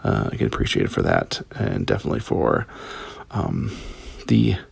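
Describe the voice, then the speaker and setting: A man's voice: a bass voice, wildly swooping pitch, normal speed, creaky, breathy edge, no room sound. A man giving a casual spoken review as listener feedback, rambling and hesitant as he thinks aloud.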